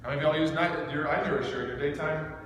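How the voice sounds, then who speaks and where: A man's voice: fairly high pitch, quick pace, fairly bright tone. Intense man training in an echoey room. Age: thirty-seven. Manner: intense, emphatic, direct, peppered with rhetorical questions. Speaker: business team trainer. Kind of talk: informal motivational training talk to a group. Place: echoing indoor room with a small group. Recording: echoey room recorded from far off, faint noise.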